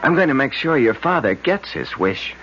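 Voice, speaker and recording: An actor playing a firm, scornful family attorney in a 1970s radio drama. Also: male voice, mid-range voice, big pitch swings, moderate pace, fairly bright tone, a little hiss or hum. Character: stern protective family attorney, middle-aged. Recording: muffled 1970s radio broadcast.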